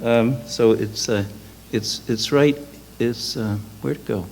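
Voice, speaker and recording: A man speaking on a panel, halting and restarting as he searches his slides aloud. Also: mid-range voice, vocal fry, darkish tone. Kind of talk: lecture with slides. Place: lecture hall. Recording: reverberant hall, faint noise.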